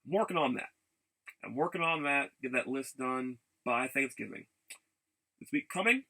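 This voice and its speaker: Gravelly, bright-voiced man rambling casually. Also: medium pitch, low-quality recording. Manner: halting, repeats phrases. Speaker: video blogger. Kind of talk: casual rambling vlog update.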